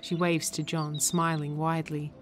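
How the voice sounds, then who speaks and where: A woman narrates a script with a notably low voice, reading stage directions in a measured, deliberate way. Faint music plays beneath her. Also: level tone.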